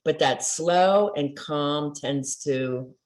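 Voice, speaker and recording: Older woman, lively storytelling, slips into quoted voices, chatty interview. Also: low voice, very slow. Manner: animated storyteller acting out quoted dialogue. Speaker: trauma recovery coach guest. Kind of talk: casual podcast interview.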